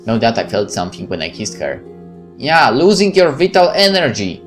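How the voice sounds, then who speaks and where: A man narrates a visual novel for a gaming video, mixing reading with casual asides. His pitch sits in the middle, and he stumbles now and then over words. Music plays behind him. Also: very animated, normal speed, fairly bright tone.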